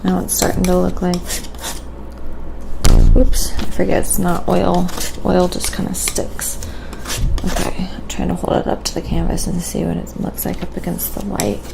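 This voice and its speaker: A woman speaks softly with heavy vocal fry and very little rise or fall in pitch. She thinks aloud at an unhurried pace, like a relaxed ASMR art streamer chatting to viewers. There is clear background noise with small clinks. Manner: soft-spoken, unhurried thinking aloud while working. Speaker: livestream painter and host. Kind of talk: quiet ASMR painting livestream, casual chat with viewers.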